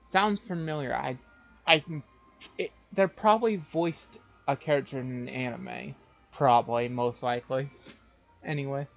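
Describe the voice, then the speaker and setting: A man's voice: medium pitch, measured pace, creaky, fairly bright tone, faint music behind. A man streaming a game, muttering offhand commentary that often trails off. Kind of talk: casual live-stream commentary.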